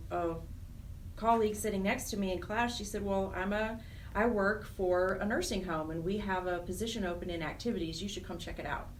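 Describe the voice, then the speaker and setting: A middle-aged American woman speaking earnestly and reflectively as a guest in a conversational radio interview. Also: mid-range voice. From Wyoming, United States. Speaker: interview guest, charity program director. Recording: distant mic with faint noise.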